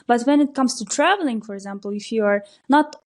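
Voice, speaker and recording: A woman from Kyrgyzstan speaks as a podcast guest, a local tour operator. Her voice sits fairly high and sounds mellow. She explains things patiently, with plenty of "uh" and "like" along the way. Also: brisk. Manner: explanatory, many fillers, steady flow. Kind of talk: conversational interview answers. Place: podcast recording.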